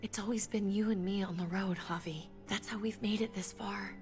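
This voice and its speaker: Casting: actress as weary, anxious wife, medium pitch, hushed and intimate, cinematic game with music clearly underneath. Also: female voice, fairly even intonation, normal speed, lightly acted. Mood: scared, pleading. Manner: hushed, often breathy near-whisper, intimate. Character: weary, anxious wife, an injured survivor pleading quietly. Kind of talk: cinematic video game acting.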